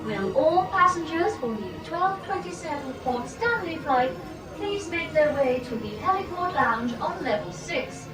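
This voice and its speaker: A woman's voice: high-pitched, lively intonation, a little slow, over music. An actress in a radio drama playing a female announcer who makes formal public address calls. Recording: echoey, distant announcement speaker.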